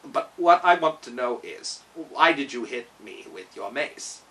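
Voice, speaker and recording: A man voicing a calm, polite clockwork man from a storybook, gruff and measured. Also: mid-range voice, distant mic, low-quality recording. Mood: matter-of-fact. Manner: flat, measured, deadpan. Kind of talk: storybook character voice.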